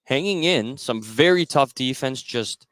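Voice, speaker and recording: A man calling live play-by-play of a high school basketball game for radio. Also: animated, a little slow, fairly bright tone, dry recording. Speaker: play-by-play commentator. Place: courtside at an indoor basketball game.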